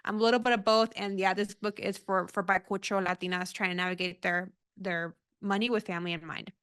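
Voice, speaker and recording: Bright, crisp-voiced woman guest. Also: mid-range voice, fairly quick. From United States. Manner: bright, animated, uses fillers like "like" and "um". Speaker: author and guest. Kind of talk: casual interview chat.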